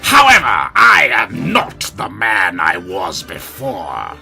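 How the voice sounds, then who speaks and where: A man voicing a mad, vengeful villain prince in a theatrical, halting dramatic reading. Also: deep voice, wildly swooping pitch, vocal fry, shouting, music in the background, exaggerated voice. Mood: vengeful fury. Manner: dramatic, halting, manic declamation.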